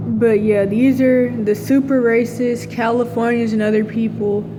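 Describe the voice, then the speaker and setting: A woman's voice: fairly high pitch, level tone, measured pace, over music, low-quality recording. A woman talking in a casual, rambling monologue, full of fillers and restarts. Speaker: vlogger.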